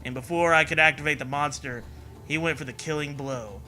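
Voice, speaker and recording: Bright, forceful man reading with mockery. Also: on the high side, fairly quick, faint music behind. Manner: sarcastic, foul-mouthed, exaggerated. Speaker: co-host of a comedic read-along. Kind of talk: mocking dramatic reading with riffing commentary.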